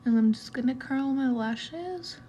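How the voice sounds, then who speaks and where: Young woman chatting thru a makeup tutorial, kinda high voice, faint hum in the room. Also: unhurried. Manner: relaxed, chatty step-by-step explaining with fillers. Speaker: beauty vlogger. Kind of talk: casual makeup tutorial narration.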